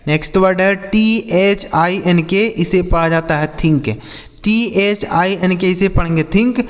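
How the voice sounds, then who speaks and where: A man's voice: high voice, unhurried, fairly bright tone, long reverb tail. A man teaching English vocabulary, spelling each word letter by letter and repeating its meaning several times. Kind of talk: slow spelled-out vocabulary lesson.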